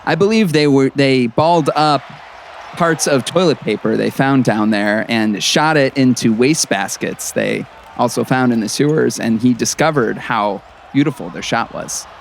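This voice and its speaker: A man's voice: medium pitch, moderate pace, gravelly, lightly acted. A man playing an earnest small-town radio play-by-play announcer in a comedy sports broadcast. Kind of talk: sports commentary in audio comedy. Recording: crowd and gym noise behind. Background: crowd, bouncing basketball.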